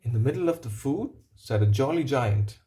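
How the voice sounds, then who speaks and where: A man reading a picture book aloud to children, pausing to explain things and put questions to them. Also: medium pitch, expressive, mellow. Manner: pauses to ask and explain to young listeners. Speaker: storybook reader. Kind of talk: read-aloud narration for children.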